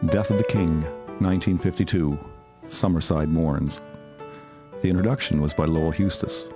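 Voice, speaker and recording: A man's voice: deep voice, steady tone, moderate pace. A man reading a scripted announcement as a radio drama's announcer. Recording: guitar music under voice.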